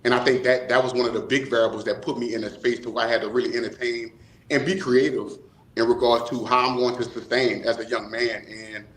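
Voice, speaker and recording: A man giving reflective interview answers, choosing formal words in measured, hesitant phrases. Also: medium pitch, steady tone. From New Orleans, USA. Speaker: nonprofit co-founder, interview guest. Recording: roomy, echoing voice.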